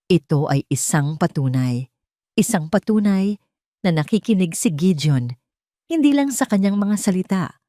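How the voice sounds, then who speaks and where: Female narrator, low voice, dry close sound, scripted romantic drama storytelling. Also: mellow, no room sound.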